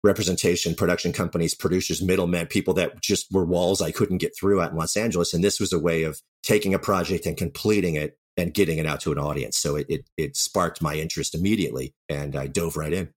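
A man with a deep voice talks through his experiences as an interview guest. He is candid and self-deprecating, speaking conversationally. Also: fairly even intonation, quick pace, hardly any pauses, husky. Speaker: writer and audio drama director, interview guest.

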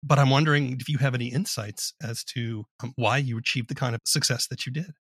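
A man's voice: mid-range voice, fairly even intonation, a little fast, a bit bright, husky, no echo, studio quality. A man hosting a podcast, speaking as an interviewer and announcer. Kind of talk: interview and scripted outro narration.

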